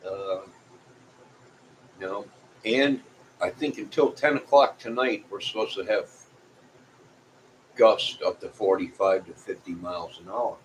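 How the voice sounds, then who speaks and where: A man's voice: lowish voice, unhurried, long pauses, rough recording. A middle-aged or older man chatting casually to viewers in a folksy, rambling way, often repeating himself. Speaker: vlogger. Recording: clear background noise.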